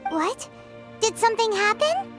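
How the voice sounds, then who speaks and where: A woman voicing a tiny, chirpy, excitable fairy girl companion with a childlike manner in a video game. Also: extremely high pitch, unhurried, soft background music, heavily acted. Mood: surprised. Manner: childlike, speaks of herself in third person.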